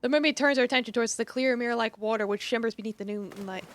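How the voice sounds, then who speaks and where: A woman live streamer reading a story game aloud in an expressive, theatrical way, with casual asides. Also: a little high, quick pace, soft background music. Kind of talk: dramatic read-aloud of visual novel with casual asides.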